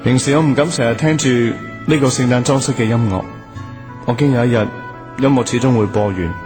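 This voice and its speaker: An actor playing a lovelorn, hopeful young student in a radio drama, earnest and wistful. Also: male voice, medium pitch, fairly quick, lightly acted. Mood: wistful, sad. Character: lovelorn, hopeful young male student waiting for his girlfriend. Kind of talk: Cantonese radio drama acting and first-person narration. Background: music.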